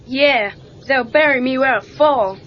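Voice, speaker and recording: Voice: high voice, measured pace, slight background noise. A performer playing a skeptical teenage boy who is learning karate, talking informally and with some sarcasm in an audio drama. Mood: sarcastic, worried. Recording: muffled lo-fi read-along cassette tape.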